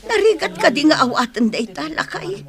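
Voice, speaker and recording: An actress playing a weary, long-suffering older mother in a radio drama, husky and exasperated. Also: sits high, big pitch swings, fairly quick.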